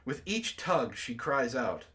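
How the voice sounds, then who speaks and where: Guy hosting a comedy read-along, super animated up and down voice, jokey and dramatic. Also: mid-range voice, slow pace. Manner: animated, mock-dramatic, breaks into laughter. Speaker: host of a comedy book club reading. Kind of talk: casual group banter and reading aloud.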